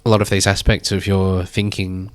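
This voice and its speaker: A man in his thirties, a guest speaking thoughtfully in a measured, drawn-out way. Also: low-pitched, flat delivery, clear, resonant tone, no room sound. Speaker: guest artist. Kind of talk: reflective conversation.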